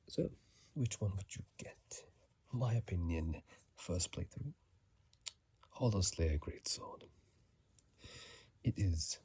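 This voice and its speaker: A host delivers ASMR game commentary in a low, dark whisper. The delivery is slow and halting, with words often repeated. The voice is husky and breathy, picked up on a phone microphone.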